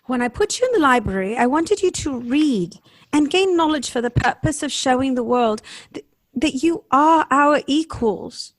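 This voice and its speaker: A woman's voice: high voice, expressive, normal speed, no room sound. A woman playing an earnest, compassionate and troubled wife in a radio drama, speaking formally and questioningly. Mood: pleading.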